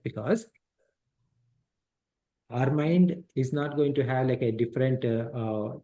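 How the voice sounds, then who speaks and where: Slow, mellow-voiced man teaching a class. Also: medium pitch, gravelly. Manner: explains step by step, repeats himself, quizzes the class. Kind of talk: interactive class on a scripture text. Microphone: headset microphone.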